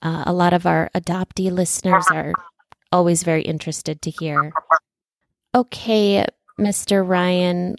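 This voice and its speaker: A woman hosting an interview podcast, asking gentle, empathetic questions. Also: lowish voice, vocal fry, no room sound, studio quality. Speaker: podcast host.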